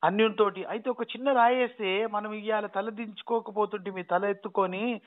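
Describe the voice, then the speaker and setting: A man with a Telangana Telugu accent questioning on a phone call in a halting, repetitive, insistent way. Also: sits high, steady tone. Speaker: phone caller. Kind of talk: questioning in casual phone conversation. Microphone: phone line.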